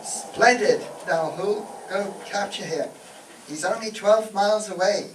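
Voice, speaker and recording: A man's voice: high voice, unhurried, fairly bright tone. A man playing a pompous British army major and explorer in a comic radio play, declaiming with bluster. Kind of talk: comic radio play acting before an audience. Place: live stage show in a room, picked up from afar. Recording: roomy, distant live stage recording with noise.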